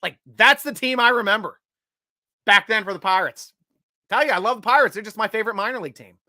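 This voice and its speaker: A man hosting a live sports stream, reading viewer comments and cracking jokes. His voice is bright and forward. He swings from loud shout-outs to low asides, and the sound is dry and close. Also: no room sound. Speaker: host.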